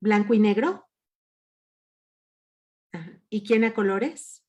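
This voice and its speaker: A woman's voice: mid-range voice, expressive, takes it slowly, dark tone, dull audio. A woman leading an online workshop, patiently asking her group questions over a video call. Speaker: workshop leader.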